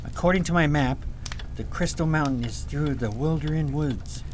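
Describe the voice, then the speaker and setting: A man's voice: on the high side, normal speed, a little hiss or hum, lightly acted. A man playing a stubborn, serious boy prince in a fantasy radio drama, speaking tersely and earnestly. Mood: urgent, insistent.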